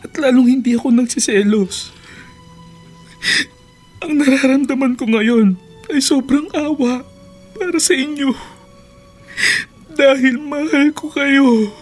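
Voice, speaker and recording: A man's voice: extremely high pitch, takes it slowly, long pauses, soft background music. A man playing a dutiful eldest son who pleads earnestly with his father in an emotive radio drama. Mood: pleading.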